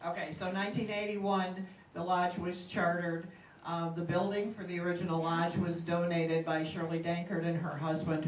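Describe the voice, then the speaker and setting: Woman member, halting, filler-heavy report; far off in an echoey hall. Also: fairly low pitch, slight background noise. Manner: rambling, halting, with many fillers. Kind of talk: casual spoken report. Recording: roomy hall, speaker far from mic.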